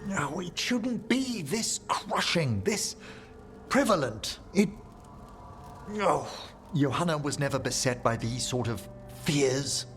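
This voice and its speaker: An actor playing a refined, scholarly older necromancer in a video game, eloquent and formal, courteous but anxious. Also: male voice, a little high, expressive, slow, a bit bright. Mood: anxious, brooding. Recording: music behind the voice.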